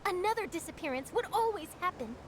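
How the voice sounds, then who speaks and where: A woman voicing an ambitious young newspaper reporter in a video game, excitable, theatrical and emphatic. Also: very high-pitched, fast, a little hiss or hum. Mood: earnest, intrigued. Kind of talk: animated video game voice acting. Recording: loud game music behind. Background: game music.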